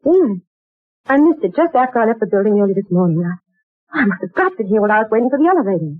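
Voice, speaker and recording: A woman's voice: medium pitch, very animated, fast talker, dry recording. A hesitant young woman on old radio. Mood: earnest. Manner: hesitant, wondering. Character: young woman uneasy over a strange job. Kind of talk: 1940s radio acting. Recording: tinny old radio broadcast with faint music.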